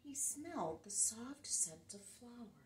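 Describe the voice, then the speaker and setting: A woman reading a picture book aloud to children in an animated, expressive way, recorded in an echoey room a little away from the mic. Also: on the high side, unhurried, far from the mic, slight background noise. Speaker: children's storyteller.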